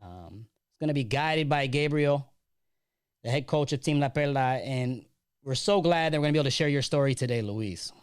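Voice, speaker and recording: A man's voice: mid-range voice, expressive, moderate pace, clear, resonant tone. A man hosting an interview, introducing his guest and asking encouraging follow-up questions. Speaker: interviewer, host.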